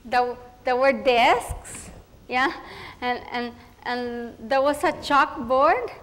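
A woman voicing a young Mexican-American girl on her first day of school, chatty and halting, mixing Spanish words into her English and speaking with a Mexican Spanish accent. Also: sits high, a bit bright, big-room sound. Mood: eager.

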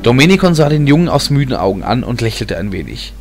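A man's voice: medium pitch, very animated, quick pace. A man reading a fantasy story as a dramatic audiobook narrator. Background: music bed.

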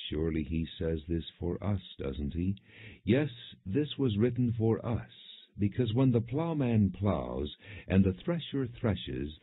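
Guy reading bible audiobook, measured, husky and dry, no room sound, narrow tinny audio. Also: low-pitched, no room sound. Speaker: audiobook narrator. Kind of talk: scripted Bible reading, non-dramatized. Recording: muffled, narrow phone-like audio.

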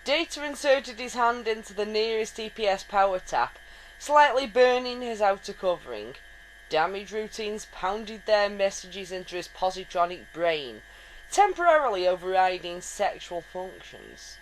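Woman reading a story, very expressive. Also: mid-range voice, normal speed, slight background noise, rough recording. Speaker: reader. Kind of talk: dramatic reading of fan fiction.

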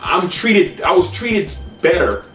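A man in his thirties talks through his opinions casually as a co-host, often restarting and repeating words. His voice sits a bit high for a man. It is recorded from a little distance in an echoey home room, with music behind. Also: big pitch swings, takes it slowly, a bit bright. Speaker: co-host of online discussion show. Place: small room at home, indoors.

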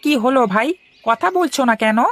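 Naive male farmer for animation, woman performer: high voice, plaintive, trusting, clear music bed. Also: animated, a little fast, fairly bright tone. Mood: puzzled. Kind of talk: animated moral story acting. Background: prominent background music.

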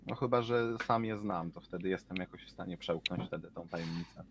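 A man chats casually in character during a tabletop game. His voice is on the low side, and there is clear background noise. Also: a little fast.

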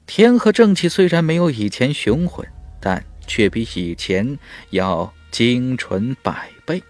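A man narrating an audiobook in a dramatic, emphatic storytelling style with measured phrasing. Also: medium pitch.

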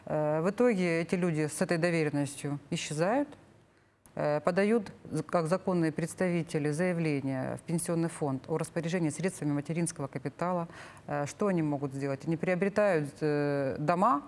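Low-voiced middle-aged woman explaining. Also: a little fast, a little mellow. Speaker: government official, expert guest. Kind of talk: studio interview explanation. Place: TV studio, seated at a table. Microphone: lapel.

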